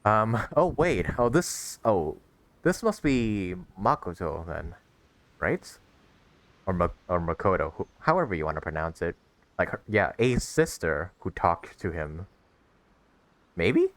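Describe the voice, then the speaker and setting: A man's voice: low-pitched, big pitch swings, a little slow, a bit bright, no room sound. A man giving casual reaction commentary as a game streamer, musing aloud conversationally into a close mic.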